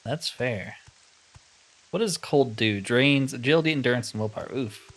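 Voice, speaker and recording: A man's voice: mid-range voice, moderate pace, fairly bright tone. A man giving casual gameplay commentary on a fantasy roleplay game, musing aloud in a wry, conversational way.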